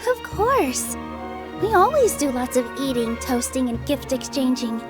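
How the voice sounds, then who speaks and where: A woman playing a gentle, modest young theater performer in a fantasy game, speaking softly and earnestly. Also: high voice, sing-song, measured pace, over music. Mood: friendly, inviting. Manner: sweet, earnest, polite.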